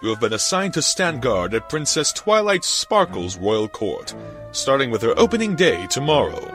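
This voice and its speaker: Arrogant unicorn captain, pompous, boastful, forceful bright voice, animated pitch, fantasy story, music behind. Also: male voice, normal speed. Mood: commanding. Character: arrogant, leering unicorn stallion guard captain. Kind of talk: dramatised fan fiction reading.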